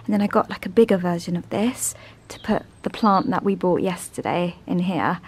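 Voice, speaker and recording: A woman's voice: fairly low pitch, normal speed. A woman chatting casually and affectionately to her vlog audience. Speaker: vlogger, host of a personal channel.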